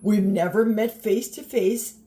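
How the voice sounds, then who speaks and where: Older woman, mellow voice, slow pace, chatty and encouraging, cooking vlog, handheld camera at home. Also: mid-range voice, steady tone. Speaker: vlogger. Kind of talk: casual vlog chat while cooking. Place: home kitchen.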